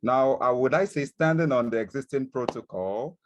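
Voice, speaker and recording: A man's voice: mid-range voice, moderate pace, highs cut off, a little hiss or hum. A man opening a webinar talk over a video call with polite, hesitant greetings. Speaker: webinar guest speaker.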